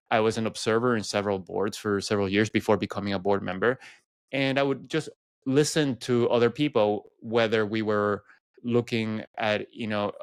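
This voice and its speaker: Smooth, low-voiced man from Venezuela. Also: no room sound. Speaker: podcast guest. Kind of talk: personal storytelling in an interview.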